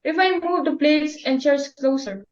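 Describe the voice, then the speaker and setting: Muffled, high-voiced woman acting a flustered daughter. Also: moderate pace, natural voice. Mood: protesting. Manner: apologetic, protesting. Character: flustered, reluctant young daughter of a society hostess. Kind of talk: dramatic reading of a stage play.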